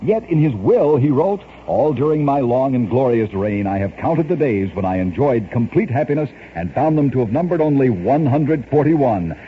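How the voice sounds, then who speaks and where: A man reading strange-fact stories in a brisk scripted radio announcer style, from an old radio show recording. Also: mid-range voice, expressive, narrow, tinny audio, slight background noise. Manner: brisk announcer delivery of odd facts.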